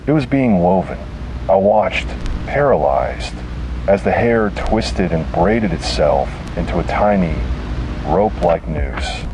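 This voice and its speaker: A man delivering scripted first-person horror narration in a grave, dramatic manner with deliberate pauses. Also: low-pitched, lively intonation, background noise.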